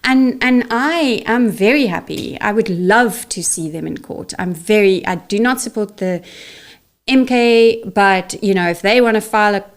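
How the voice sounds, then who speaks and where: A woman's voice: mid-range voice, unhurried. Outspoken South African woman, Afrikaans native. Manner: outspoken, emphatic, frequent restarts. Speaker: guest. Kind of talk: interview conversation.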